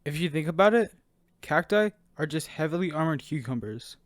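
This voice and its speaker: A man's voice: fairly high pitch, expressive, moderate pace, dry recording, close to an everyday voice. A man playing an eager, slightly whiny young squad member in an audio drama, casual and questioning.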